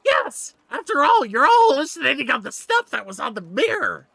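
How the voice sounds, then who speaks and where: A man voicing an excitable, theatrical villain who gets flustered in a tabletop game. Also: extremely high pitch, normal speed, creaky, dry recording, pitched above the performer's own voice, exaggerated voice.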